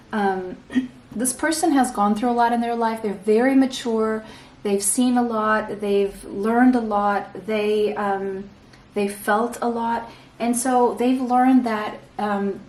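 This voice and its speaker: A woman from Portugal giving a pick-a-card tarot reading in a soft, reassuring way, often checking in with "okay?" and clearing an irritated throat. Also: fairly high pitch, echoey room, recorded from a distance, poor audio. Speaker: tarot reader.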